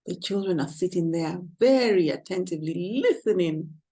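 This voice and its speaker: A woman's voice: lowish voice, lively intonation, moderate pace, warm tone. A woman reading a picture book aloud to children, expressively and with questions to the listeners. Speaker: children's storyteller.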